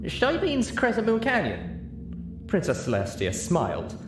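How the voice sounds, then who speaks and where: A man narrating a first-person story from a script as an audiobook reader. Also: on the low side. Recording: echoey room with clear background noise.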